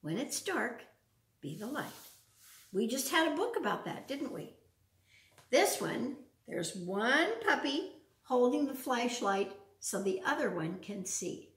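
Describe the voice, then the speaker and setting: An older woman reads to small children in a fairly high voice that swings up and down. She speaks in simple phrases and often puts questions to her young listeners. The sound is roomy, as if recorded a little way across a living room. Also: sing-song, a little slow. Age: older, around 70s. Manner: simple, child-directed talk with frequent questions. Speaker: storyteller reading to children. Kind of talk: children's storytime read-aloud with chatty asides. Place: large open living room with wood floor, indoors. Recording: roomy echo, distant from the mic.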